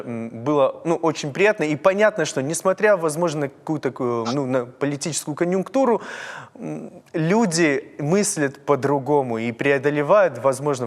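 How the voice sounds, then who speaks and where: A man from North Ossetia hosting a TV interview, speaking in a measured, searching way with many fillers. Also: fairly high pitch, long reverb tail. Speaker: TV host. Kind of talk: TV interview and sign-off.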